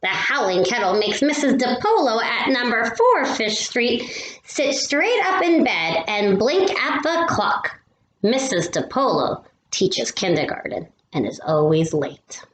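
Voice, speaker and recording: Expressive woman storyteller, slow and animated. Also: a little high. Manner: animated, drawn-out emphasis. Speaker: read-aloud storyteller. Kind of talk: expressive children's storybook reading. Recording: roomy echo with faint noise.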